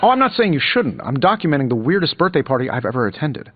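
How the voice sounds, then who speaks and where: An actor playing a cocky billionaire inventor superhero in a video game, glib and sarcastic with playful quips. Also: male voice, fairly high pitch, very animated, fast, no room sound, phone-quality sound. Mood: joking. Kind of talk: video game character dialogue, quippy banter. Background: music.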